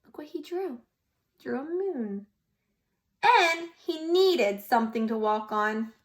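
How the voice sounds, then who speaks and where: A woman preschool teacher reading a picture book aloud to young children, expressive and pausing to ask them questions. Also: high voice.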